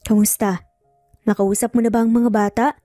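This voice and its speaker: A woman's voice: a little high, little pitch movement, normal speed, dark tone, dry recording, clean studio sound, natural voice. A woman voicing an inquisitive male best friend in a romance story, nosy and speculative, with music behind. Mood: curious. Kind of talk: Tagalog romance drama storytelling. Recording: music bed under the voice.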